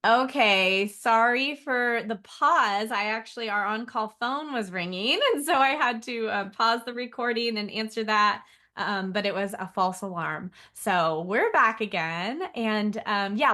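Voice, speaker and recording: A woman hosts a podcast interview in a chatty, informal way. Her voice sits at a medium pitch. She fills her questions with likes and you-knows. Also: unhurried, few pauses, bright, forceful tone. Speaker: podcast host. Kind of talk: casual interview hosting.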